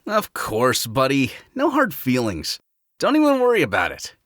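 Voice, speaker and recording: A man's voice: medium pitch, wildly swooping pitch, normal speed, fairly bright tone, no echo, clean studio sound. A man playing a cocky, goofy young blue hedgehog hero with slangy, laid-back delivery in a fan audio drama. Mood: easygoing.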